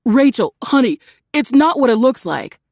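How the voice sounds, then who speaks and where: A woman voicing a panicked cheating husband who pleads and whines, pitched well above her usual voice. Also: sing-song, normal speed, a little mellow, no echo, clean studio sound. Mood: pleading. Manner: whiny, pleading.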